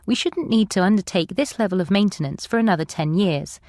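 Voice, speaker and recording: A woman reads a prepared statement in a mid-pitched voice. Her intonation moves widely and the sound is close and dry. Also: animated, fairly quick, darkish tone, no room sound. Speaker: spokesperson reading a statement. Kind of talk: scripted read statement.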